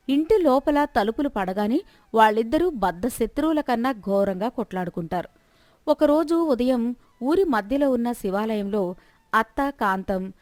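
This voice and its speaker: A woman tells a scripted moral tale. Her voice sits fairly high, and the recording is dry with no room around it. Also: no echo. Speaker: storyteller. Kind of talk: scripted moral story narration.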